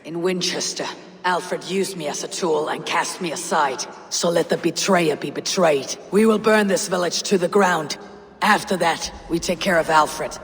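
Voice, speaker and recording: An actress playing a stoic, resolute young Viking war leader with a grave, measured delivery, in a dramatic game style. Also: female voice, lowish voice, husky, dry recording. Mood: grim, determined. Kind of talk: dramatic video game acting.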